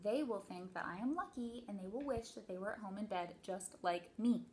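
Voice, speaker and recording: A woman's voice: medium pitch, brisk, a little mellow, a little hiss or hum, natural voice. A woman voicing a reluctant, whiny woman who dreads school, in a storybook reading. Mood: whiny protest. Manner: stubborn, complaining.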